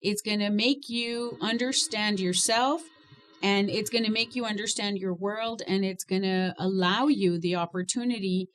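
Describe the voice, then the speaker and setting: A woman's voice: mid-range voice, fairly even intonation, moderate pace, a little hiss or hum. A woman hosting a podcast interview, teasing her guests and laughing often. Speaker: podcast host. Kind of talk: casual interview chat.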